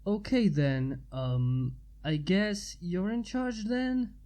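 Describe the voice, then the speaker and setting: A woman's voice: medium pitch, slow, warm tone, close to an everyday voice. A woman voicing an awkward, polite young man in a storybook reading, mumbling and hesitant. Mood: sheepish.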